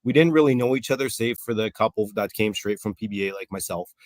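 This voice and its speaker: A man in his forties, a coach as interview guest, talking in an upbeat, relaxed way with fillers. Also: fairly low pitch, quick pace, no echo. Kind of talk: casual interview.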